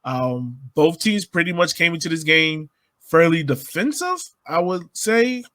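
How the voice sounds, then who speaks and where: A man's voice: fairly high pitch, lively intonation, measured pace, a bit bright. A man hosting a sports podcast in a casual, conversational style.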